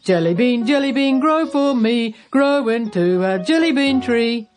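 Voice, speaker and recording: Storybook grandpa, old, gleeful and excitable, very animated delivery performed by a woman. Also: on the high side, a little slow, faint music behind, voice pushed up. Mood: chanting. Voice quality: thundery when singing. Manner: exclamatory, gleeful. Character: jolly excitable old grandpa with a jiggly tummy.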